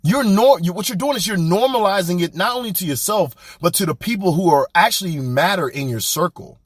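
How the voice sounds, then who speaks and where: Animated, husky-voiced man preaching motivation. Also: high voice, brisk, dry recording, studio quality. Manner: animated, preachy, blunt and colloquial. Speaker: podcast host. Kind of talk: motivational monologue.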